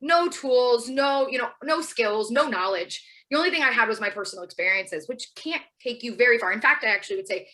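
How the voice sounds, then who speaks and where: An American woman in her thirties speaks as a guest educator in an informal, personal style. Her voice is bright and fairly high, and she moves very quickly, leaning into emphatic asides. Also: animated, low-quality recording. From United States. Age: 30s.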